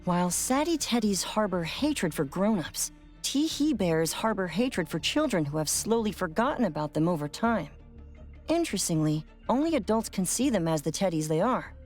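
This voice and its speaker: A woman's voice: on the low side, big pitch swings, moderate pace, a little mellow, soft background music. A woman playing a thoughtful, knowledgeable lore expert in a video game, precise and explanatory. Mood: informative.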